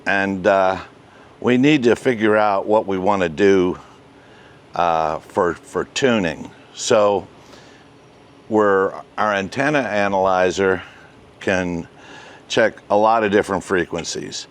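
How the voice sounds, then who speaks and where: An older man in his sixties giving a casual step-by-step tutorial outdoors as host, with halting, deliberate delivery. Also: sits low. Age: 60s. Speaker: host, ham radio hobbyist. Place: outdoors, residential backyard and covered patio.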